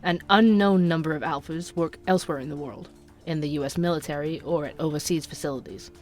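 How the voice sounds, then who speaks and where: An actor playing a young podcaster who narrates urban conspiracies in a measured, inquisitive way for an audio drama. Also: medium pitch, soft background music, close to an everyday voice. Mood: intrigued, serious.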